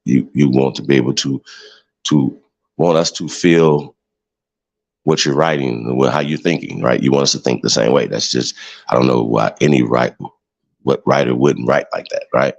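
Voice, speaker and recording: Deep gravelly man, preachy and emphatic. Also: steady tone, normal speed. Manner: emphatic, preachy, repeats himself with many "right?" tags. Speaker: show host. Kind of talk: heated livestream monologue.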